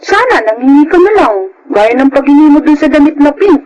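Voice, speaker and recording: A woman's voice: high voice, animated, fairly quick, highs cut off, a crowd in the background. A woman with a Filipino accent playing a meek, honest young housemaid, polite and earnest, in radio drama style. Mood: gently reproachful.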